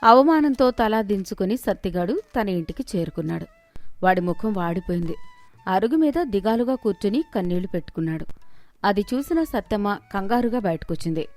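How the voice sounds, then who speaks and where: A woman giving scripted narration for an animated moral story in a steady storytelling manner. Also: medium pitch, a little fast, soft background music.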